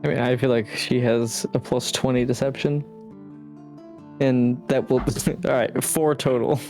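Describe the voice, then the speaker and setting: A man's voice: medium pitch, flat delivery, measured pace, darkish tone, faint music behind. A man chatting casually as a player in a tabletop roleplaying game.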